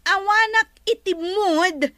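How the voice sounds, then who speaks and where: A woman's voice: very high voice, slow, pushed and bright, no room sound, clean studio sound, exaggerated voice. An actress playing a worried, commanding woman who owns a resort, in a radio drama. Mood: stern. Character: worried woman resort owner, bossy madam. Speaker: resort owner.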